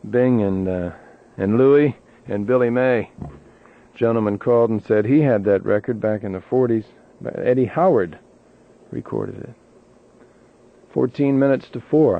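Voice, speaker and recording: A man with an American accent hosting an overnight radio show, chatting in a conversational way and reading news and weather. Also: on the low side. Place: radio studio. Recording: tinny 1980s radio aircheck tape.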